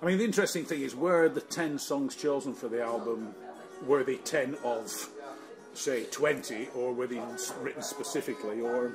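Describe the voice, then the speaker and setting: Middle-aged male host, casual and chatty, medium pitch, clear music in the background. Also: normal speed. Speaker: music show interviewer. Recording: music playing behind the voice.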